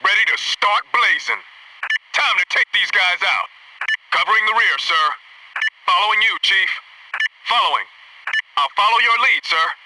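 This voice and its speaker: An actor playing a cocky, wisecracking young Navy SEAL in a military shooter game, with brash, clipped delivery. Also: male voice, high voice, a little slow, pauses often, recorded from a distance, noisy background. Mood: eager. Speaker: video game squad soldier. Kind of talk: military shooter game voice lines, short barks.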